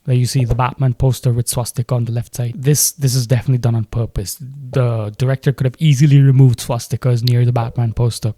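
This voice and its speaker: Dark-voiced Indian English man reacting. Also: mid-range voice, flat delivery, fast talker, few pauses, no room sound. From Delhi, India. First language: Hindi. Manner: thinking aloud, questioning, hesitant. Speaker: film reaction host. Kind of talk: trailer reaction commentary.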